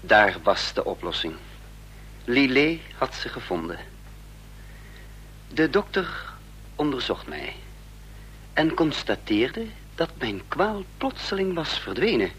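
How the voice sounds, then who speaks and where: An actor playing a troubled man in love who tells his own espionage story in a measured, dramatic radio drama style. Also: a little high, fairly bright tone. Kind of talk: Dutch radio drama narration, literary first person. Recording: faint noise and hum, old radio recording.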